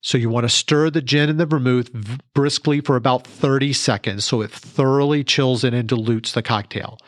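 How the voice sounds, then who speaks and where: A man presents a scripted how-to segment for a podcast. His voice sits at a medium pitch, and the sound is close and dry, with no room around it. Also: normal speed, no echo. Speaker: podcast cocktail segment reporter. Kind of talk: instructional scripted narration.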